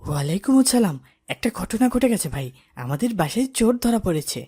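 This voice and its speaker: A woman voicing an excited, indignant boy in a cartoon, talking to his friends. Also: medium pitch, fast, husky. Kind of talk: cartoon drama voice acting.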